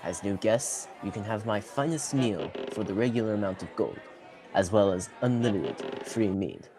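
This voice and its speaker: Teen actor as a protective fantasy tavern keeper. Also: male voice, fairly low pitch, lively intonation, normal speed, a crowd in the background. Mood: hospitable. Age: teens. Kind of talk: student radio theatre acting.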